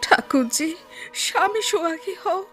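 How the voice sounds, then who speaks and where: A woman's voice: very high voice, expressive, a little slow. A woman acting in a radio drama as a tearful village relative giving a blessing. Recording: loud music over the voice.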